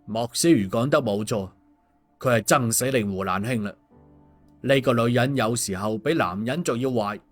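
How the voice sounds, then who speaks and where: A male storyteller narrates a scripted audiobook. His delivery is colloquial and his pitch sits in the middle range. Faint music plays behind him. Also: normal speed. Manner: colloquial, with sentence-final particles.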